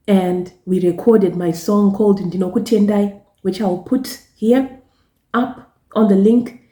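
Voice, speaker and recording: A woman from Zimbabwe with a Zimbabwean accent, Shona her first language, talking casually to camera in a slow, halting way with many repeated words and restarts. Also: mid-range voice, mellow, reverberant. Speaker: travel vlogger and gospel singer.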